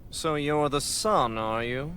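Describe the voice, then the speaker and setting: Smug, pompous villainous lord. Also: male voice, a little high, slow, sharp tone, slight background noise. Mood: condescending. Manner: pompous, formal, sneering. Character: smug, scheming villainous lord, gloating and condescending. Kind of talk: theatrical video game villain acting. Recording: music behind the voice.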